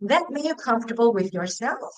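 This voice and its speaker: A woman's voice: on the high side, lively intonation, brisk, poor audio. A woman from Singapore, hosting a casual talk show and asking her guest rambling, restarting questions. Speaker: podcast host. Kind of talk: casual conversation.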